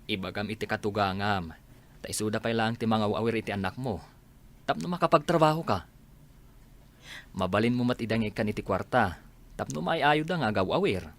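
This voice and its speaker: A man's voice: on the low side, expressive, a little fast, pauses often, fairly bright tone. A man playing a practical social worker who gives matter-of-fact advice in a radio drama, with an Ilocano accent. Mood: practical, reassuring.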